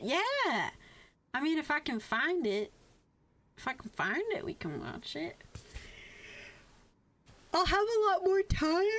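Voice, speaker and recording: A woman's voice: sits high, a bit bright. A young woman livestreamer chatting casually, playful and informal, swearing freely. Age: young adult. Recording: music playing behind the voice. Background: music.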